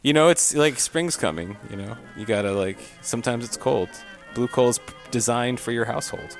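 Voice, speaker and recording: A man's voice: medium pitch, moderate pace, faint music behind. A man, a podcast host giving a casual, rambling recap.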